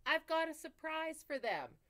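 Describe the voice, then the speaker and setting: A woman's voice: sits high, very animated, unhurried, a bit bright, pitched above the performer's own voice, heavily acted. A meek, eager storybook wolf, put on by a woman with a raised, lilting voice that swoops all over. He begs politely and sounds hopeful rather than menacing. Every request comes out sweet and earnest. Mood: pleading. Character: timid, well-meaning wolf pleading at doors. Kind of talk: storybook read-aloud.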